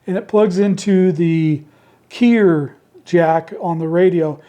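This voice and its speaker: Male host, high mellow voice gone hoarse, easygoing rambling delivery, home radio shack. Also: takes it slowly. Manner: easygoing and rambling, homespun phrasing. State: hoarse, worn-out voice. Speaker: ham radio channel host selling handmade keypads. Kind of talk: casual product talk to camera. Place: indoor radio shack with computer behind.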